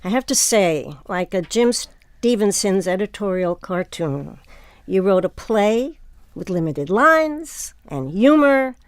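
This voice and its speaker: A woman's voice: mid-range voice, very animated, slow, dry recording. A woman hosting, reading scripted credits and introducing an interview. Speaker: host.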